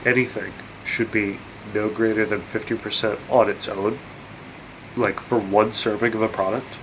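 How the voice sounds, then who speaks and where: A man's voice: on the low side, fairly even intonation, moderate pace, slight background noise, poor audio. A congested young man vlogging, rambling and incredulous, with many fillers and restarts. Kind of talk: casual rant to camera.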